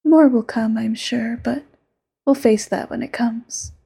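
A woman's voice: a little high, measured pace, full character voice. A woman voicing an obsessive, possessive immortal lover in a whispered audio roleplay, sweetly menacing. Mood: doting. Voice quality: soft breathy whisper. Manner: intimate, sweetly menacing, sudden flares.

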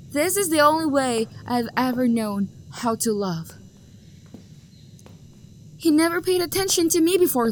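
A woman's voice: high voice, slight background noise. A woman playing a sweetly menacing obsessive lover. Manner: sweetly menacing, drifting into hushed whispers. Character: obsessive possessive young woman stalking her beloved. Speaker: voice actor. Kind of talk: audio roleplay acting.

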